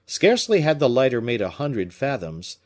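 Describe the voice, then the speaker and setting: A man narrating a classic novel as an audiobook reader, measured and expressive. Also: medium pitch.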